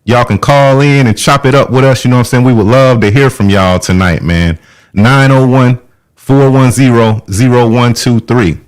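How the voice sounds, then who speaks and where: A man hosting a live music interview in slangy, hyped casual chat, full of shout-outs. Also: medium pitch, normal speed, clean tone, rough recording.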